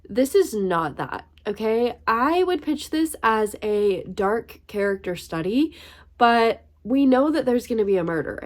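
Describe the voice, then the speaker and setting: A woman's voice: mid-range voice, a little mellow. A young woman in her twenties with a cold, congested and coughing, chatting emphatically in a casual reading vlog. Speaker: book vlogger.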